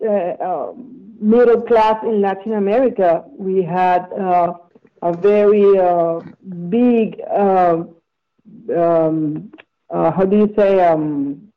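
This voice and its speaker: A woman from Venezuela with a Venezuelan Spanish accent, a native Spanish speaker answering interview questions in a halting way, with many fillers and restarts. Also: medium pitch, muffled recording. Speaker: guest.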